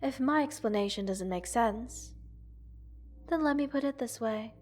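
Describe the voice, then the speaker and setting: A woman voicing a gentle, curious alien scientist in an ASMR roleplay, speaking formally, precisely and reassuringly to the listener. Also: on the high side, unhurried. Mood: patient explaining. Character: gentle, curious multi-world alien scientist studying a captive human.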